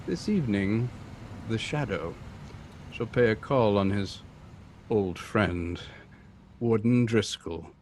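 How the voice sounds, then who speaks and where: An actor playing a calm, suave, authoritative amateur detective in a radio drama, measured and formal, with noise on the recording. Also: male voice, on the low side. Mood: cool, intrigued. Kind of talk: old-time radio drama acting.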